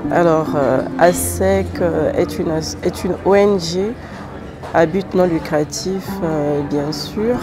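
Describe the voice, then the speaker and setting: Lady from brazzaville, slow and halting, lots of euhs, guitar music behind her. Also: lowish voice. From Congo-Brazzaville. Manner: hesitant, many "euh" fillers. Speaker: president of a nonprofit association. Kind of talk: interview answers. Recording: music playing under the voice.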